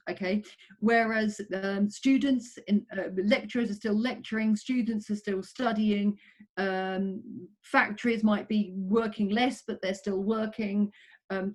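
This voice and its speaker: A middle-aged woman answering questions in an interview. She speaks in an earnest, conversational way, often pausing on um and correcting herself. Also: mid-range voice, darkish tone. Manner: earnest, frequent ums, self-corrections. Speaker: tourism researcher, interviewee.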